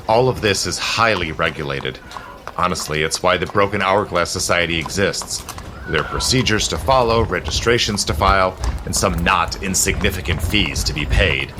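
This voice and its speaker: An actor playing a suave, zealous immortal gentleman in a period audio drama, with a smooth, persuasive, formal manner. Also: sits low, moderate pace, noisy background, natural voice. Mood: explaining, urbane. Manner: smooth, persuasive, theatrical, genteel formal phrasing.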